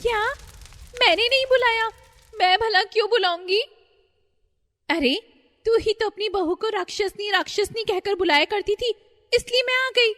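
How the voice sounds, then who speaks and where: A woman's voice: moderate pace, long pauses, fairly bright tone, long reverb tail. A woman voicing a fretful older mother-in-law who panics easily, in a cartoon story. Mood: panicked. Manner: shrill, panicky.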